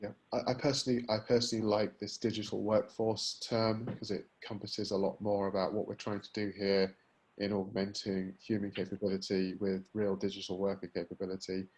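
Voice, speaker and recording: A man's voice: fairly low pitch, flat delivery, a little fast, a little mellow, low-quality recording. A man hosting a business webinar, moderating the discussion in a conversational way. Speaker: webinar host.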